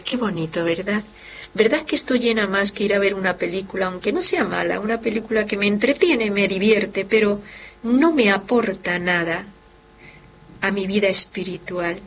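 A woman's voice: medium pitch, a bit bright, slight background noise, rough recording. A woman who is a religious sister, hosting a devotional program, explaining with fervor and speaking directly to her listeners. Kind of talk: devotional teaching and reading listener letters. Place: lives in Barcelona.

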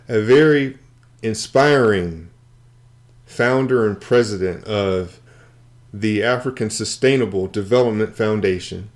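A man with a fairly low voice hosts an interview show. He sounds upbeat and encouraging as he introduces his guest and asks questions. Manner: upbeat, encouraging, frequent backchannels. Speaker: show host. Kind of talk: interview hosting.

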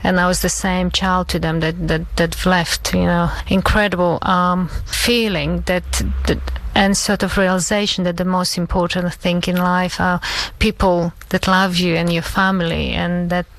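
A middle-aged woman with a Polish accent, a native Polish speaker, answering reflectively in an earnest, halting way as an interview guest. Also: lowish voice, little pitch movement, no echo, low-quality recording. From Poland.

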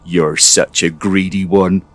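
A man's voice: deep voice, fairly even intonation, slow pace, fairly bright tone. A man voicing a fussy wooden mother creature who talks in a warbling, sing-song way. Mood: chiding.

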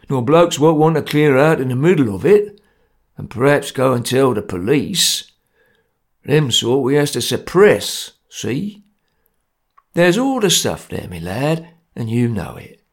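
Casting: male reader playing stern Cockney conspirator chief, gravelly and husky, menacing, laconic, for an audio story. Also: mid-range voice, heavily acted. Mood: grim. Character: grim, domineering male ringleader of bungling conspirators.

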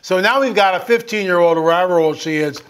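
A man in his sixties with a notably high-pitched voice gives informal, sermon-like commentary. He talks to listeners in a folksy, conversational way, pressing his points with emphasis and rhetorical questions. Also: fast talker, fairly bright tone, clear, resonant tone. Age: 60s.

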